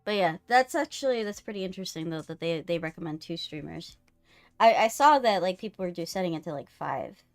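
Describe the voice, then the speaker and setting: A woman's voice: fairly low pitch, lively intonation, a little fast. A woman talking casually and teasingly as she hosts a gaming live stream. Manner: playful, teasing. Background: music.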